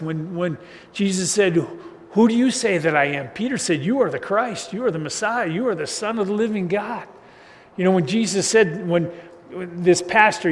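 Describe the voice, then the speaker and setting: Loud, high-voiced man preaching in a hall. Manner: loose, conversational preaching with chuckles and restarts. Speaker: pastor. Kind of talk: casual sermon. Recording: reverberant hall, faint ambient noise.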